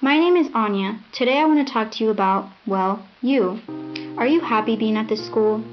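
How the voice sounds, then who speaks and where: A woman's voice: a little high, normal speed, soft background music, natural voice. A young woman playing an earnest, defiant teenage student giving a scripted campaign speech. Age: young adult. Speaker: election candidate. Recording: dull lo-fi 1990s VHS sound.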